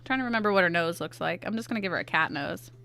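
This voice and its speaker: A woman with a stuffy nose, chatting casually with her viewers in quick asides while she draws on stream. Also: lowish voice, animated, creaky, bright, forceful tone, faint music behind. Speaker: art streamer. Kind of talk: casual chat while drawing.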